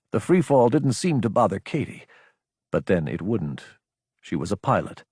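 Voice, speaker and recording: A male audiobook narrator reads dramatic fiction. His voice sits at a middle pitch with a husky edge, and the recording is very dry, like a small treated studio. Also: a little mellow, no room sound.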